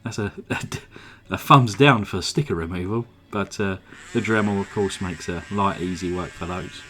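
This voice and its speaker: A man with a lowish voice, a little croaky from a sore throat, talks through his workbench restoration over soft background music. He sounds relaxed and chatty, pausing on "uh" between thoughts, with a desk mic picking him up in a home workshop. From England. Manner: relaxed, chatty, many "uh" fillers. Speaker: model car restorer. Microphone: desk microphone.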